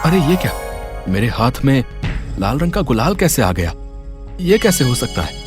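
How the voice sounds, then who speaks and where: A man acts out a poor, kindly woodcutter in a storybook tale. He is earnest and wide-eyed, with a very lively pitch. Music plays clearly behind the voice. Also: normal speed, lightly acted. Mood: amazed. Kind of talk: Hindi moral story voice acting.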